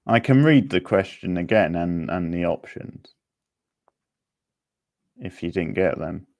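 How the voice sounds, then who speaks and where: Deep, gravelly-voiced man hosting a quiz. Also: animated, a little mellow. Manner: addresses players informally by name, reading options out deliberately. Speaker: quiz host. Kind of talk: casual live quiz hosting.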